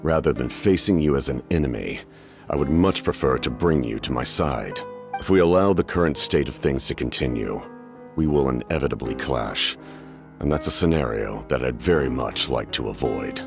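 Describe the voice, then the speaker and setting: An actor playing a grave, calculating military captain in a video game, speaking in a formal, measured and courteous way to persuade. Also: male voice, very deep voice, expressive, gravelly, over music, heavily acted. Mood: composed, persuasive. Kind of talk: English game voice acting.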